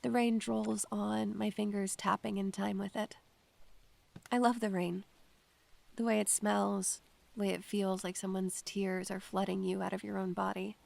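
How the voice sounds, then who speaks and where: A woman playing a melancholy, introspective young female student in an audio drama, speaking in a wistful, measured way. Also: medium pitch, level tone, vocal fry, darkish tone. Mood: wistful, brooding. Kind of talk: audio drama narration and acting.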